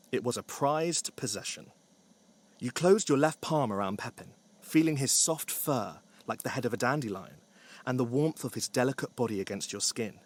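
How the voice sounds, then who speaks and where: A man narrating a scripted story with wry, dry humour. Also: medium pitch.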